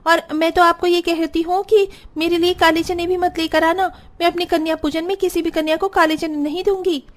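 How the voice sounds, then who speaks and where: A woman's voice: extremely high pitch, flat delivery, a little fast. A woman voicing a spiteful, haughty young sister-in-law in a cartoon story, bossy and scornful. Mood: demanding. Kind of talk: Hindi cartoon story dialogue.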